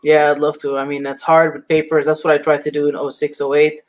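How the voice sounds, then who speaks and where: A man's voice: a little high, completely flat delivery, quick pace. A man in his thirties from Lebanon, a guest talking casually and easily, swearing now and then. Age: 30s. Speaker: guest, snake breeder. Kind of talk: casual chat.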